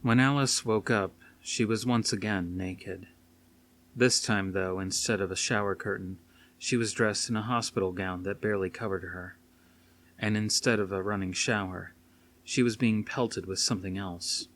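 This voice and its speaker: A man's voice: lowish voice, steady tone, moderate pace, a bit bright. A man reading aloud as an audiobook narrator, in a dramatic scripted style. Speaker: audiobook reader. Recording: faint noise behind the reading.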